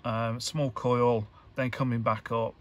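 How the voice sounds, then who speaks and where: Guy doing a diy tutorial, really slow n flat, kinda halting, thinking out loud. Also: mid-range voice. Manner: halting, hesitant, thinking aloud. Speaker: electronics hobbyist presenter. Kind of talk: informal hands-on tutorial narration.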